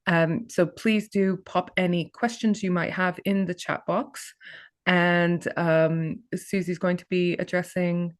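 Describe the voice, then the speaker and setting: A woman hosting a webinar, introducing a guest in a friendly, halting way with many fillers. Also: on the low side, flat delivery, no echo, clean studio sound. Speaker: webinar host.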